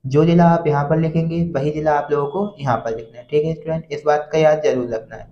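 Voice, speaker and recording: An Indian man giving an instructional lesson to students as a coaching teacher, reading phrases slowly and then explaining them. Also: on the high side, fairly even intonation, slight background noise. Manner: repeats a check-in phrase to students. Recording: dull, roomy echoing sound.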